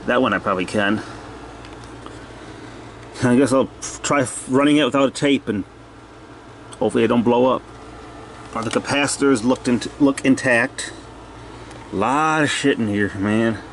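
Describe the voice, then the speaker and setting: Male hobbyist repairer, mid-pitched, plain-spoken and unscripted, talking to himself on handheld camera audio with faint hum behind. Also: moderate pace, pauses often. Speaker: hobbyist repairer narrating own video. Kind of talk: casual off-the-cuff repair commentary. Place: cluttered indoor workshop.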